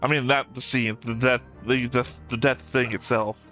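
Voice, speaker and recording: A man's voice: mid-range voice, fairly even intonation, moderate pace, bright, forceful tone. A man giving casual, rambling reaction commentary as a show host, full of fan-expert asides. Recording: music playing behind the voice.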